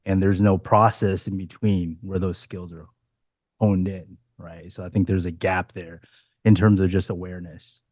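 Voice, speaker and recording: A man's voice: low voice, flat delivery, moderate pace, a little mellow, no echo, clean studio sound. A man on a dating coach panel, explaining in a casual way with frequent "you know"s.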